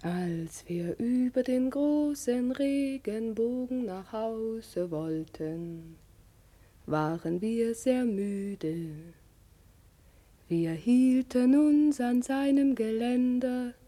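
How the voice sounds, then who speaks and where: A proud, sardonic woman in a radio drama. The voice sits fairly high, with very slow, theatrical stretches and a scornful edge, recorded dry. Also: dark tone, no room sound. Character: proud, sardonic woman singer, weary of her companions. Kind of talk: German radio play acting.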